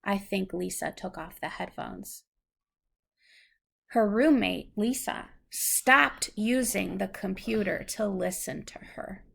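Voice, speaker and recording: A woman's voice: medium pitch, slow, creaky, fairly bright tone. A woman reading a story aloud to a young child, patiently explaining things in simple, teacherly phrases.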